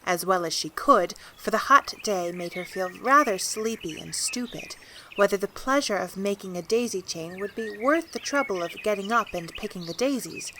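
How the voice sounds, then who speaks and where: A woman's voice: mid-range voice, expressive, moderate pace, sharp tone, a little hiss or hum. A woman giving scripted storybook narration as the narrator of an audio drama. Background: music.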